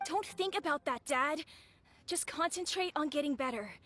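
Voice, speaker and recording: High, gentle, caring youngster. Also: female voice, normal speed, soft background music. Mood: soothing. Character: gentle, caring youthful grown child comforting a parent. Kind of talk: English video game voice acting.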